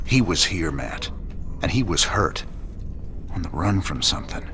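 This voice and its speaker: An actor playing a weary, gruff, wry space rebel commander in a game, with a Southern US accent and folksy, laconic delivery. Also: male voice, low voice, sing-song, a little slow, exaggerated voice. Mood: troubled. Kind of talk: dramatic sci-fi game cinematic acting. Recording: loud music behind.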